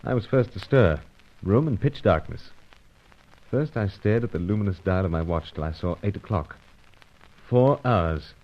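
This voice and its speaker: An actor playing a dry, understated secret agent in an old-time radio spy serial, with clipped, hesitant, wry delivery. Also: male voice, deep voice, lively intonation, moderate pace. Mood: dry narration. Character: dry, understated British secret agent narrating his own adventure. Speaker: lead actor and first-person narrator. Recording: dull, lo-fi radio serial recording.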